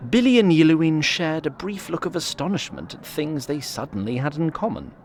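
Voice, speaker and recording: Guy narrating fantasy fiction, wry and bookish, pitch moves around a lot. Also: expressive, brisk. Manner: literary, wry. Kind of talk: scripted fantasy storytelling narration.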